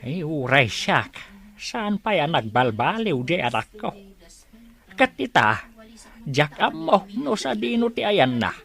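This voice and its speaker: A man's voice: on the high side, big pitch swings, slow, vocal fry, a bit bright, heavily acted. A man playing a worried old father in a radio drama, sighing and lamenting about his wayward son. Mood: lamenting, pleading.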